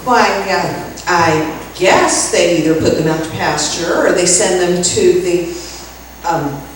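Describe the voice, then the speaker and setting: A woman playing a sensible, caring, gently sardonic roommate in old-time radio comedy style. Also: lowish voice, slow pace. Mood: concerned. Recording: very echoey room, distant mic, clear noise.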